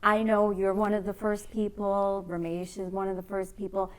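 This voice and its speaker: A woman's voice: mid-range voice, little pitch movement, moderate pace, clear, resonant tone, low-quality recording. A woman interviewing as a talk show host in an encouraging, conversational way.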